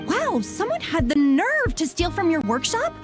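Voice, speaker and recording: A woman's voice: very high voice, wildly swooping pitch, normal speed, heavily acted. An actress playing an easygoing, confident young woman smith in a scripted fantasy game, casual and self-assured, with music behind. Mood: surprised.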